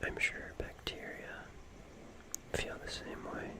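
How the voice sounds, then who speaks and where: A soft, breathy whisper carries this slow ASMR monologue. The speaker muses in short phrases with long pauses between them, reflective and unhurried throughout. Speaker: ASMR creator.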